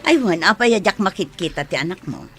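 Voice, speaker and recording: A woman's voice: lowish voice, animated, brisk, faint music behind, lightly acted. A woman with a northern Philippine accent playing a sassy, teasing villager in a radio comedy-drama. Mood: mocking, nosy. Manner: teasing, questioning.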